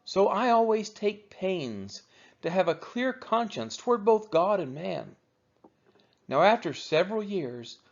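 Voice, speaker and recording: A man reads scripture aloud in a slightly high voice. His intonation rises and falls widely, with measured, deliberate oratorical phrasing. The recording sounds a little dull and lo-fi. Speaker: scripture reader of a daily read-along. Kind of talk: scripted Bible reading, narration.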